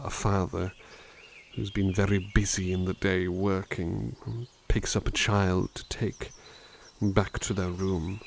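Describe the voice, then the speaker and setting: A man playing a gentle, kindly butler who softly reads poems aloud in an ASMR roleplay and muses thoughtfully on each one. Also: fairly low pitch, creaky, slight background noise. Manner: soft, musing, thoughtful asides between poems. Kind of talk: ASMR roleplay poetry reading.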